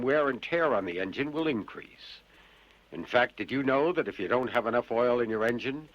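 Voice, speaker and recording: A man delivers a scripted radio advice spot with animated pitch and a rough, husky tone. The sound is dry and a little muffled, like an old broadcast. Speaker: public service announcer. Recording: slightly dull radio broadcast recording.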